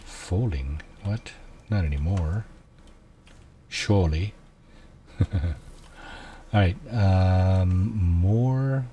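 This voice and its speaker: Man, deep voice, quiet, slow and easygoing, thinking aloud over a game. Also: slight background noise. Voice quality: low, soft voice. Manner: quiet and unhurried, musing aloud with many fillers. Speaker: gameplay streamer. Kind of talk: casual let's-play commentary.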